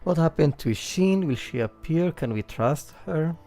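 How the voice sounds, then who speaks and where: A man livestreaming game commentary and analysis, thinking aloud haltingly and repeating words as he works out his points. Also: fairly high pitch, animated, dark tone, soft background music.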